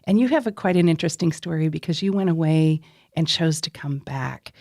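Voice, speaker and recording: A woman with a slightly low voice speaks as an interview host. She presents a guest and puts questions in a conversational setting. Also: fairly quick, a little mellow.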